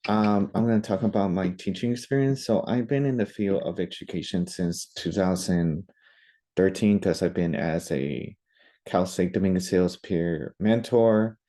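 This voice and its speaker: A young male math teacher whose first language is Spanish talks through his classroom experience in a loose, unscripted way. He pauses often and restarts his sentences. His voice sits very low, with a soft, dark tone. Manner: hesitant, many fillers and restarts. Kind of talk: casual spoken presentation.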